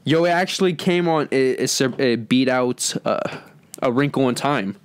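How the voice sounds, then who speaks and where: A man's voice: mid-range voice, normal speed, clear, resonant tone, dry recording. A man co-hosting an entertainment podcast, chatting casually in informal, slangy language. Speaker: podcast co-host introducing the show.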